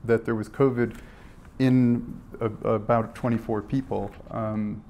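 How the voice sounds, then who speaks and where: A man, a physician on a panel, explaining in a halting way with many restarts, in a conference room. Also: mid-range voice, steady tone, warm tone, slight background noise.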